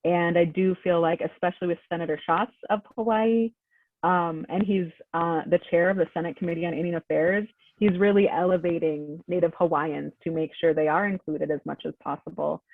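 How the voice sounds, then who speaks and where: A woman's voice: fairly low pitch, fairly even intonation, fairly quick, no room sound. A woman presenting a workshop over a video call, speaking in an informative, affirming way. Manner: informative, affirming, uses "um" a lot. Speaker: workshop presenter from an advocacy group. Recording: tinny, dry phone-like audio.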